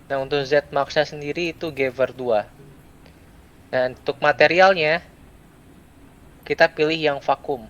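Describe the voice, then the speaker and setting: A young adult man gives a step-by-step software tutorial, speaking in short, halting phrases with pauses. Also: fairly high pitch, fairly even intonation, muffled recording. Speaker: student presenter giving a software tutorial. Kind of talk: step-by-step screen tutorial, unscripted. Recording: clear background noise.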